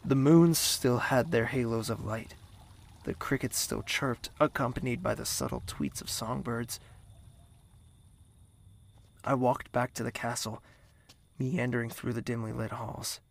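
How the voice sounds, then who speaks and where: A lovelorn, sleepless castle dweller, played by a man, narrates a fantasy audio drama. His mid-pitched voice is breathy and husky, and he lingers slowly over short, pensive phrases full of melancholy. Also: fairly even intonation. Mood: pensive, wistful.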